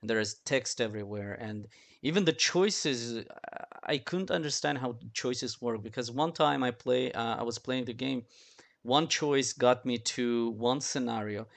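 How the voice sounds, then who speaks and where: A man with an average-pitched voice chats casually on a podcast. He speaks as a guest, a little haltingly, searching for the right words as he gives his opinions. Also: creaky. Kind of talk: casual chat.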